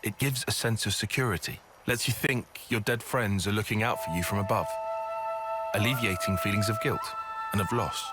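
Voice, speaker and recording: A man plays a calm, analytical young male soldier in a video game. His voice sits low, with cool, articulate explanations, and music is prominent behind him. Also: moderate pace, close to an everyday voice. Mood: detached, explaining. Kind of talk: video game cutscene acting.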